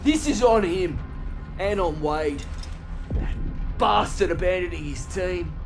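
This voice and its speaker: A man's voice: high-pitched, lively intonation, slow, creaky, music in the background, exaggerated voice. An actor playing a blunt, angry male soldier who swears and lays blame in a war game cutscene. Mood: angry, mocking. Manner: heated, swearing, confrontational. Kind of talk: video game cutscene acting.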